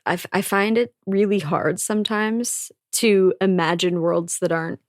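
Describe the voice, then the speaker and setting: A woman's voice: medium pitch, moderate pace, no room sound, clean studio sound. A woman co-hosting a book podcast, talking with eager enthusiasm and casual swearing. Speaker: podcast co-host.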